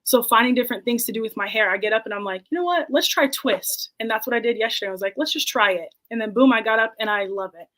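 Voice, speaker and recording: A woman's voice: a little high, fast, hardly any pauses, a bit bright. A woman in her twenties, a podcast guest, talking candidly and reflectively in casual conversation. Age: 20s. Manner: candid and reflective, quotes her own past thoughts.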